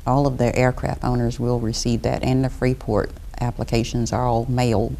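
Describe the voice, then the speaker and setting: A woman's voice: rumbling low, flat delivery, moderate pace, creaky, mellow. A middle-aged woman from Florida, a property appraiser explaining her work in a studio interview.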